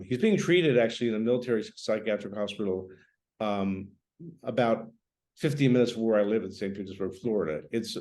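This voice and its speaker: Older man with a low voice. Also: a little mellow. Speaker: writer, guest. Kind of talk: introducing and reading from own novel.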